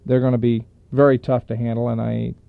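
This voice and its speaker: An American man gives off-the-cuff sports talk with a creaky, gravelly edge. He is matter-of-fact and wordy, often hesitating as he goes. The sound is a dry, dull 1960s AM radio recording. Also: medium pitch, completely flat delivery, a little fast, dry recording.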